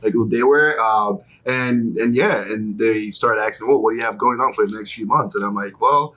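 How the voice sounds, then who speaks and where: A young man from Nigeria with a bright, forceful tone sitting low in his register. He talks loosely and easily as an interview guest, full of fillers and light laughter. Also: normal speed, poor audio. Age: 20s. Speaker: guest, clothing designer. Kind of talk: casual interview chat.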